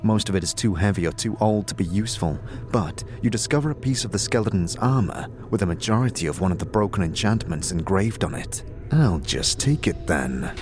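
A man's voice: lowish voice, fast, hardly any pauses, over music. A man reading scripted second-person narration for a fantasy audio drama.

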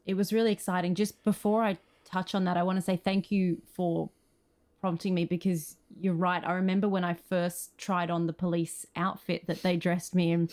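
A woman's voice: on the low side, flat delivery, normal speed, darkish tone. A woman with an Australian accent telling stories in a conversational interview, enthusiastic and emphatic. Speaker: screenwriter and actor, interview guest.

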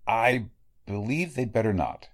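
A man playing a gruff, authoritative veteran coroner with a formal, emphatic manner in a 1940s-style radio drama. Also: mid-range voice, lively intonation, unhurried. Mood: grave, perplexed.

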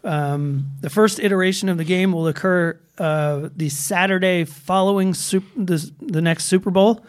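A man with a high-pitched voice co-hosts a casual talk show. He recaps news stories in a loose, meandering way, full of fillers and restarts. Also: fairly even intonation, moderate pace, hardly any pauses. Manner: rambling with many fillers and restarts. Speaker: podcast co-host. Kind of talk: casual chat.

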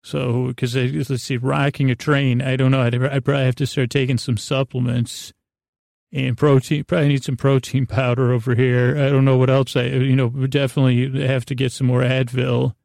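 A man hosting a bedtime podcast in a creaky voice, meandering through a goofy, self-deprecating monologue with halting, trailing sentences. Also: mid-range voice, flat delivery, darkish tone, no room sound, studio quality. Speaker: podcast host.

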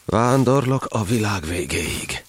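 A man's voice: lowish voice, lively intonation, a little hiss or hum. A man narrating a verse tale in a solemn, theatrical storytelling style.

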